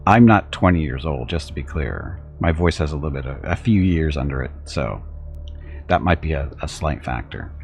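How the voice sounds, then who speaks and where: A middle-aged man with an extremely low, gravelly voice, casually chatting while he plays a game. His delivery is rambling and self-deprecating, with a lively, swooping pitch, and faint game music plays behind him. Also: moderate pace. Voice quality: deep. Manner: rambling, self-deprecating, reads on-screen text aloud. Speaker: streamer. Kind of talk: casual let's-play commentary.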